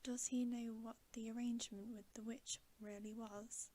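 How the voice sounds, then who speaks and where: A woman voicing an earnest, concerned young girl from a storybook in a gently questioning whisper. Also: on the high side, normal speed, natural voice. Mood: concerned, hushed. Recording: steady background hiss.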